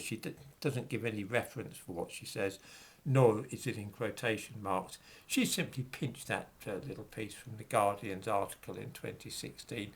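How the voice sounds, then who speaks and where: A man's voice: lowish voice, breathy edge. A middle-aged man reading passages from books aloud and commenting on them with dismissive, sarcastic asides.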